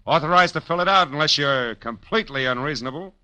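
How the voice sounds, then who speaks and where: An actor playing a brash, sardonic American private detective in 1940s radio style, with clipped, wisecracking tough talk. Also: male voice, fairly high pitch, lively intonation, quick pace, pushed and bright. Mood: brash, pushy. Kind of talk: 1940s radio acting, first-person narration and dialogue.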